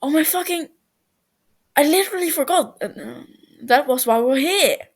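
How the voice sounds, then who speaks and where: A woman's voice: sits high, expressive, a little slow, voice pushed up. Woman voicing a cheeky, blunt teenage boy. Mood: exclaiming. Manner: teasing, blunt.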